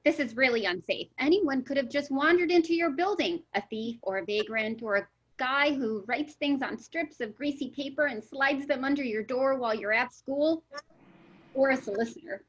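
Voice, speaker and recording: A woman's voice: high voice, moderate pace, a bit bright. A woman reading the part of an outspoken, fretful young female party guest at an amateur table read, on a slightly dull microphone. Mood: concerned.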